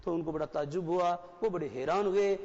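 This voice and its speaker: A man's voice: on the high side, brisk, vocal fry, a little mellow. A man in his forties teaching a class, who explains step by step and keeps asking the students questions. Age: 40s. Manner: mixes Hindi and English. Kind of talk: classroom lecture. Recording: dull broadcast audio with long reverb.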